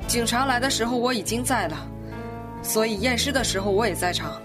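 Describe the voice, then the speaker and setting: A woman's voice: fairly high pitch, a little fast, natural voice. A woman playing a composed, inquisitive amateur investigator who speaks precisely in a radio drama. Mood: analytical, probing. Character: composed, inquisitive woman analyzing a murder case. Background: music.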